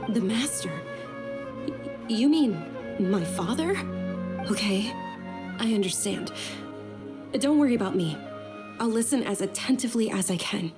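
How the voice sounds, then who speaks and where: An actress playing a gentle young noblewoman in a game, speaking softly and courteously. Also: medium pitch, expressive, unhurried, long pauses, over music, close to an everyday voice. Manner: soft, courteous, careful. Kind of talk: game voice acting.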